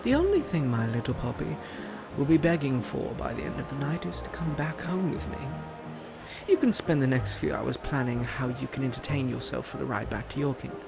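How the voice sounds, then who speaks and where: An actor playing a suave, smug, flirtatious prince in a romantic roleplay audio drama, teasing and haughty with a sinister edge. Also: male voice, mid-range voice, expressive, a little fast, gravelly. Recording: music under the voice.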